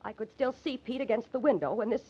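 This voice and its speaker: A woman's voice: on the high side, expressive, fast, slightly rough. An actress playing a distraught, loyal young woman in an old-time radio drama, pleading with breathless emotion. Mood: insistent. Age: young adult. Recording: dull, lo-fi old radio recording.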